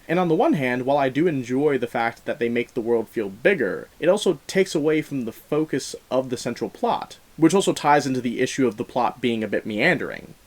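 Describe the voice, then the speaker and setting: A man giving a conversational film review as host, animated and emphatic, with informal, slangy asides. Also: medium pitch.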